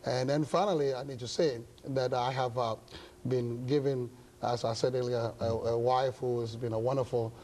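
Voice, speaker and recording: Mellow-voiced Ghanaian man, middle-aged. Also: mid-range voice, fairly even intonation, normal speed, rough recording. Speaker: interview guest, pastor. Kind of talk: studio interview, measured explanation. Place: indoor television studio set. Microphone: lapel mic.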